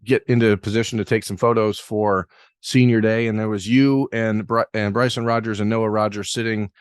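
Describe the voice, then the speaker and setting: A man's voice: fairly low pitch, fairly even intonation, normal speed, smooth, no echo, studio quality. A man hosting an interview podcast, asking long, rambling questions with self-deprecating asides. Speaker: podcast host.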